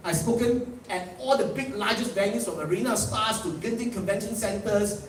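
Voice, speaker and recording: A Malaysian man with a fairly high voice tells boastful stories on a convention stage, laughing at his own jokes. His Malaysian English rings through a big echoey hall, heard from some way off the mic. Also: moderate pace, recorded from a distance, slight background noise. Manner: boastful, animated storytelling with laughs. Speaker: motivational speaker. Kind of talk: live stage talk. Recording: echoey hall picked up from afar.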